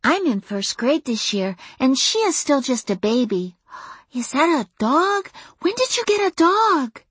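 A bossy little girl of six, with a raised childlike voice. She explains and tattles like a knowing big sister in a lively audiobook performance. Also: unhurried, no echo, heavily acted. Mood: curious. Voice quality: high, childlike. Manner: matter-of-fact, tattling.

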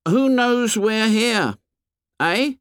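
A man's voice: sits high, expressive, very slow, a bit bright, smooth, dry recording, studio quality, voice pushed up, heavily acted. A man voicing an anxious, insistent schoolboy with a pleading, colloquial manner in an audiobook. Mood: indignant. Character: anxious, insistent schoolboy with childish grammar, indignant. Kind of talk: audiobook character voice.

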